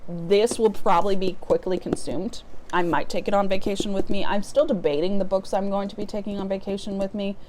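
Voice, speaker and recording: A woman's voice: mid-range voice, steady tone, a little fast, noisy background. Chatty, rambling woman book vlogger. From US Midwest. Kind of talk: casual unboxing vlog chat.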